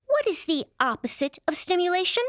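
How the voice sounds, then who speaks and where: Sheltered curious girl-next-planet type, young woman, high voice, super literal, dry close radio play sound. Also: sing-song, brisk, fairly bright tone, no echo, heavily acted. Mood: innocent curiosity. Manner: innocent, literal-minded, formal phrasing. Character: naive, curious, sheltered scientist's daughter, earnest young woman. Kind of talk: old-time radio sci-fi parody acting.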